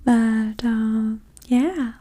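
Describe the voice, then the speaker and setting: Woman, ASMR roleplay, mellow high voice, breathy half-whisper, vain flirty dater, sassy and condescending. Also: fairly even intonation, extremely slow. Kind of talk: ASMR roleplay, soft-spoken and often whispered.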